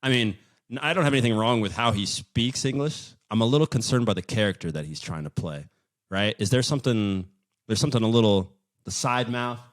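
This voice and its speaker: A man's voice: lowish voice, a little fast. A man hosting a podcast, chatting casually in a joking, self-deprecating, rambling way. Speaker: podcast host.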